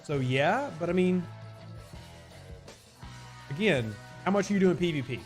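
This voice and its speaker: A man's voice: sits high, animated, unhurried, soft background music. A man streaming live and answering chat questions in a casual, rambling way, often hedging with "I mean" and repeating his points.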